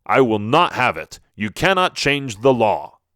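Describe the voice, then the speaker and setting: A man's voice: medium pitch, very animated, a little slow, a bit bright, no room sound, studio quality. A man voicing a commanding royal father in a storybook, firm and authoritative. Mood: stern, dismissive. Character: stern, commanding middle-aged king and father.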